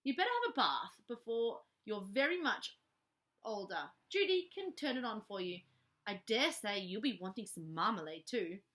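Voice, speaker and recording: A woman voicing a fierce but kindly housekeeper in a children's story, brisk, bossy and given to exclaiming. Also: mid-range voice, sing-song, a bit bright. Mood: brisk, grudging. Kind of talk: children's storybook character reading.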